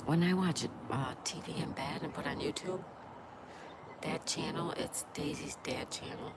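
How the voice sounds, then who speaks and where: A woman with a lowish voice and a congested, stuffed-up nose chats casually on a livestream. She greets viewers by name and answers their comments as they come in. Loud background noise runs under the whole recording. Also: normal speed. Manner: greets viewers by name and reads chat aloud. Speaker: livestream host. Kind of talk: casual live chat with viewers.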